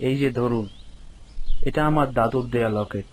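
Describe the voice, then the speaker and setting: A young man narrating a horror story in the first person, in a steady, earnest storyteller's way. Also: medium pitch, normal speed, poor audio. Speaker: narrator and hero.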